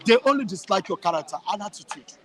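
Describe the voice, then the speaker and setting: A man with a Nigerian accent and a high voice talks with passion and emphasis. He circles back over his phrases to drive a point home. He is speaking outdoors in a town. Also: expressive, quick pace, fairly bright tone. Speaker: guest. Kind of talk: impassioned interview answers.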